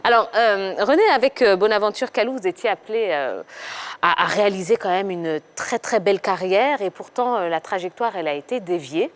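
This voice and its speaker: A woman's voice: mid-range voice, a little fast. A woman hosting a football talk show, cheerful and playful. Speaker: TV host.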